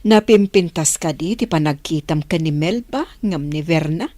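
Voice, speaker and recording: A woman's voice: low voice, normal speed, dark tone, no room sound. A woman playing an opinionated middle-aged mother questioning her grown son in a radio drama. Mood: probing, disapproving.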